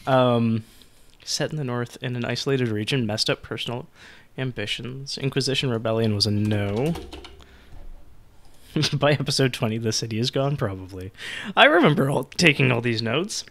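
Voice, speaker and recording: A man running a tabletop roleplaying podcast, his voice sitting at an ordinary middle pitch. He talks in a loose, rambling way, cracking small jokes as he sets things up. It comes through an online voice call. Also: lively intonation, moderate pace, a bit bright. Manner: rambling, jokey. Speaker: game master. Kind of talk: podcast tabletop game hosting.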